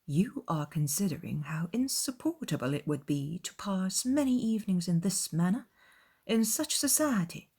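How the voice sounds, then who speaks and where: Casting: female reader as snooty, gossipy young lady, arch delivery, wide pitch swings, storybook drama. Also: mellow, husky. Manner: arch, disdainful. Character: haughty, gossipy young society lady, Miss Bingley.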